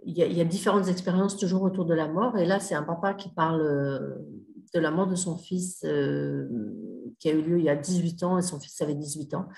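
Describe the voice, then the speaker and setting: A middle-aged woman with a somewhat low voice gives a casual talk as a grief mentor. She hesitates often and restarts her phrases. The room around her sounds echoey. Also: steady tone, brisk, gravelly, a little mellow. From Australia. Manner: hesitant, many fillers and restarts. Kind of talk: casual spoken talk to an audience.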